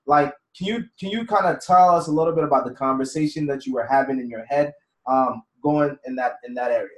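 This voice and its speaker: Energetic host in an echoey room. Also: male voice, medium pitch, a little fast. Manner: energetic and encouraging, with many fillers. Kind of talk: casual interview.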